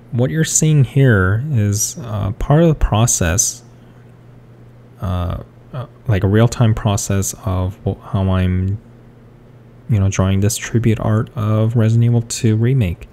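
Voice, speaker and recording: Low slow gravelly man, hesitant. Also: mellow, slight background noise. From South Korea. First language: Korean. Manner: hesitant, full of fillers and restarts. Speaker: artist. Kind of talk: rambling speed-paint commentary.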